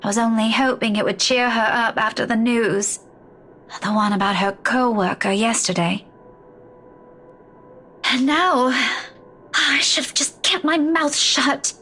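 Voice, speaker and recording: An actress in a story game playing a weary, distraught woman who speaks haltingly, with sighs. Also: a little high, bright, forceful tone. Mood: guilty, upset. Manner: halting, sighing, faltering speech. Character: weary, distraught woman keeping hospital vigil over loved one. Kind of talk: dramatic game dialogue.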